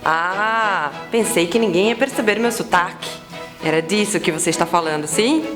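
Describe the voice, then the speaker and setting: A woman's voice: medium pitch, music in the background, natural voice. A woman playing a formal Portuguese-accented teacher. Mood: explaining. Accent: Portuguese (European). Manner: formal, measured classroom explaining. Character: new history teacher from Portugal, patient and formal. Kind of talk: student short-film acting.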